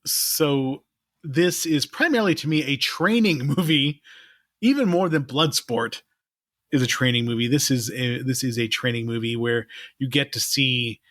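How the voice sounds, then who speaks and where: A man's voice: medium pitch, a little slow, no echo, studio quality. A middle-aged man co-hosting a podcast in casual chat, laughing often as he talks. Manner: laughs often, animated and giggly. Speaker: podcast co-host.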